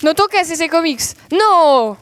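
A teenage girl as an earnest high-pitched knight. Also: expressive, slow, heavily acted. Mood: distressed. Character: dreamy male knight Don Quixote, indignant about books. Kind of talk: student audio drama acting.

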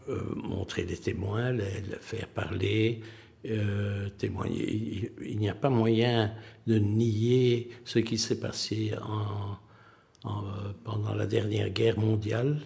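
An older man from Belgium answering interview questions, halting, with many pauses and restarts. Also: lowish voice, creaky, mellow. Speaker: museum president, witness.

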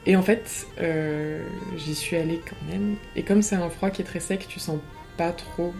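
A woman's voice: fairly low pitch, measured pace, vocal fry, a little mellow. A young woman casually sharing her experience as a patient in conversation, with guitar music behind her. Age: young adult. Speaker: patient giving testimony.

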